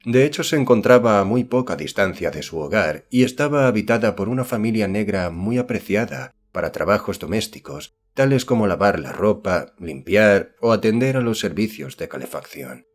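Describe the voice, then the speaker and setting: Low-pitched male audiobook narrator. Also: animated, normal speed, hardly any pauses. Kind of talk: scripted literary narration.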